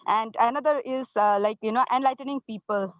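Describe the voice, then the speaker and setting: Soft-spoken woman from the Indian hills. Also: on the high side, brisk, no room sound, highs cut off. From India, Kalimpong hills. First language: Nepali. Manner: hesitant, many fillers. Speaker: running coach and organizer. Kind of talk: panel discussion on a video call.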